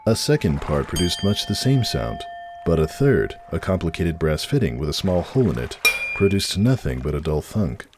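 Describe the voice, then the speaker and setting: Very deep-voiced male story narrator, expressive. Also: normal speed, over music. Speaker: narrator reading a fan fiction story aloud. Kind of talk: dramatic scripted narration.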